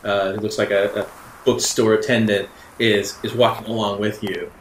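A man's voice: lowish voice, little pitch movement, moderate pace, slight background noise. Man game master in a roomy echo. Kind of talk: improvised narration of a tabletop roleplaying game. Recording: roomy echo with faint music. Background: faint music.

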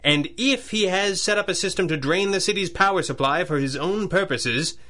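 An actor playing a stern, determined masked crime fighter in a pulp radio drama, with clipped, formal, authoritative speech. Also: male voice, high-pitched, moderate pace, bright, forceful tone. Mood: insistent. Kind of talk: 1930s-style pulp radio drama acting.

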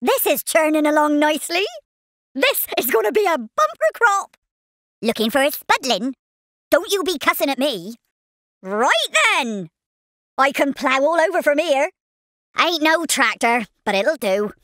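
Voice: sits high, big pitch swings, measured pace, fairly bright tone, no room sound, studio quality, heavily acted. A performer voicing a cheeky, easily riled cartoon farmer worm for a video game, with a rustic English West Country accent and exclamatory delivery. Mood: chipper. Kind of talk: exaggerated video game voice barks.

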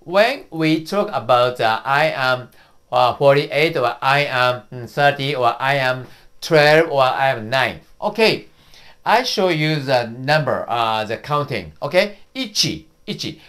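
Slow Japanese-accented man in his fifties. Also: mid-range voice, animated, a bit bright. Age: 50s. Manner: slow, repetitive drilling with cheerful laughs. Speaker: YouTube host and teacher. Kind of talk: casual language lesson. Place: small indoor studio room with whiteboard.

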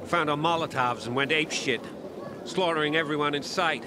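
A man as a highish-voiced shaken guard. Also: brisk, noisy background, close to an everyday voice. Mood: shaken, bitter. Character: shaken, bitter surviving guard. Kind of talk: video game character acting.

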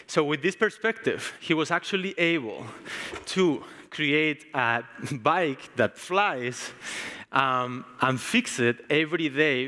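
A man's voice: medium pitch, bright, forceful tone. Spanish-accented man giving a stage talk. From Spain. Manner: casual, frequent ums and restarts. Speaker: conference speaker. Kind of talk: tech conference talk. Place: large conference stage. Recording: long hall reverb.

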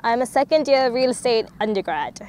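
Young woman from Malaysia, slightly high voice. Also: animated, fairly quick, darkish tone, slight background noise. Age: young adult. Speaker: student interviewee. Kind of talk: casual interview answers. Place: outdoor park.

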